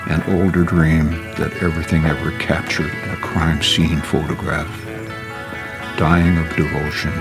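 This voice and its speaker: A man reading spoken-word poetry in a solemn, measured, deliberate way, recorded in a studio. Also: low voice. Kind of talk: dramatic poetry recitation. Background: music.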